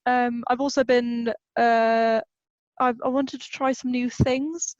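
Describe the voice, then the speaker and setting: A woman's voice: fairly high pitch, flat delivery, takes it slowly, dry recording, dull audio. A British woman in her twenties chatting casually as a podcast co-host, with a playful, self-deprecating manner. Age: 20s. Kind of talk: casual chat.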